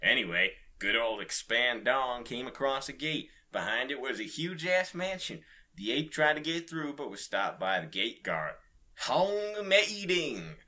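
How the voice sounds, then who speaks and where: A man's voice: medium pitch, lively intonation, moderate pace, few pauses, sharp tone. A man co-hosting a podcast, reading comic fan fiction with giggly, irreverent banter. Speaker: podcast co-host.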